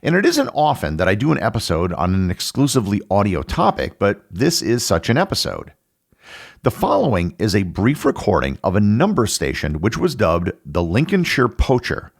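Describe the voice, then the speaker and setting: American man, podcast host, very animated pitch, creaky low voice, scripted explainer, measured delivery. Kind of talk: scripted educational narration.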